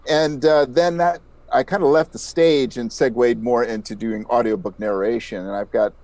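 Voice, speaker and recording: A middle-aged man speaks as a guest in a conversational interview. His pitch sits in the middle of the male range. He explains his craft as a voice actor with enthusiasm. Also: steady tone, moderate pace, darkish tone, no room sound.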